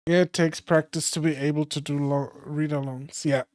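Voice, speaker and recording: A hoarse streamer reading a classic novel aloud, slowly and carefully, with small slips. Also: mid-range voice, level tone, a little mellow, no echo, clean studio sound. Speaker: streamer and reader.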